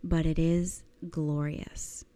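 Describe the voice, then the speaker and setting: A woman speaking as a life coach in a motivational talk, deliberate and emphatic, with a slightly croaky voice. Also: sits low, flat delivery, dark tone. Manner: deliberate, emphatic, with rhetorical questions and repetition. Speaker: life coach giving a lesson.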